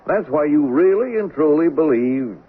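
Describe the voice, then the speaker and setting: An actor playing a patient, placating police official who speaks haltingly in a 1970s radio drama. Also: male voice, on the high side, animated, slightly rough. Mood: persuasive, soothing. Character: soothing, hesitant police official humoring a deluded woman. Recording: narrow, hissy old radio broadcast.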